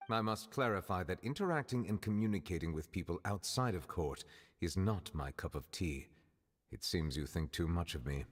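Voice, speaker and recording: An actor playing a reserved, dignified chief judge in a video game, speaking in a measured, formal, cautious way. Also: male voice, low-pitched, lively intonation, breathy edge. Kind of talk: scripted video game acting.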